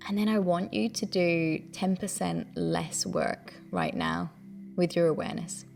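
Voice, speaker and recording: A woman leading a guided breathing meditation, giving gentle, soothing instructions in a calm, unhurried way. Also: on the low side, gravelly, faint music behind.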